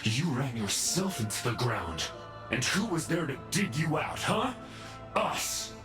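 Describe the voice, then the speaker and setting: A man's voice: mid-range voice, big pitch swings, a little slow, music in the background. An actor playing a mocking, menacing henchman in a video game, drawling with contempt. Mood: scornful. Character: sneering, bullying male debt-collecting henchman.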